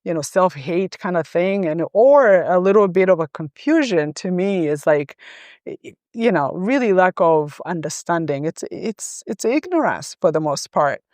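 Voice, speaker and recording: A middle-aged woman from Rwanda who grew up in Uganda, answering interview questions thoughtfully with many pauses and ums. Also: fairly low pitch, expressive, a little mellow, dry recording, clean studio sound. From Rwanda, raised in Uganda. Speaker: interview guest, gender professional. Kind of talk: conversational interview.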